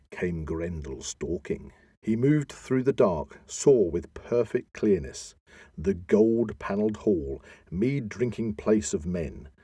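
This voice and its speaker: A man giving a dramatic reading of epic poetry in a theatrical, deliberate manner with emphatic swells. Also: lowish voice, darkish tone, husky, no room sound, studio quality. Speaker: podcast host.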